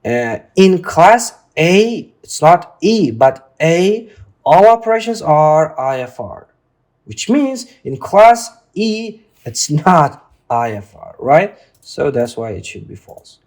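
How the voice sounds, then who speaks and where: A man tutoring informally through an exam exercise, speaking haltingly and often checking in with a questioning tag. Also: fairly high pitch, very animated, a little mellow. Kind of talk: informal lesson, walking through a reading test.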